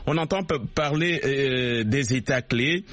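Male radio host, measured questions, dry studio sound. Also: mid-range voice, fairly bright tone, dry recording. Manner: measured, asks questions with fillers. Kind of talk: radio interview hosting.

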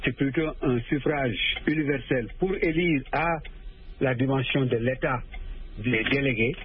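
A man, a radio guest, explaining at length with measured hesitations and self-corrections over a phone line. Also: medium pitch, husky.

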